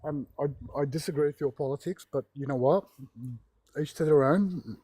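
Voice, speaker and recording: Husky, emphatic Lebanese-born man. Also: fairly high pitch, normal speed, warm tone, no room sound. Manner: emphatic, repeats himself. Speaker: caller in a live debate stream. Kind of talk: heated casual debate.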